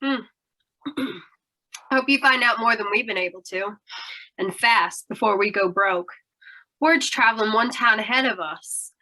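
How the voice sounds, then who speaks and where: A woman playing a tough, suspicious aging carnival woman in radio noir style, brassy and slangy with a sharp voice. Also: on the high side, unhurried, long pauses, rough recording. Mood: gruff, wary. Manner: brassy, clipped slangy talk. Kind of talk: 1940s radio noir acting.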